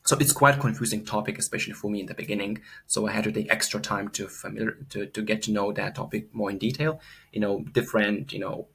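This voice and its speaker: A man's voice: lowish voice, fast talker, vocal fry, fairly bright tone, breathy edge. A young man from Lithuania, giving an earnest, unscripted course testimonial full of fillers and repeated words.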